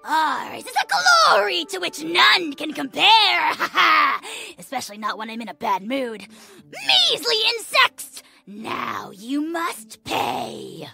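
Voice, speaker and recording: A woman's voice: high-pitched, wildly swooping pitch, slow pace, creaky, pushed and bright, soft background music, exaggerated voice. A woman voicing a small, self-important dragon lord in a video game, pompous, petulant and theatrically taunting. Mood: gloating, menacing. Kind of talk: video game character voice acting.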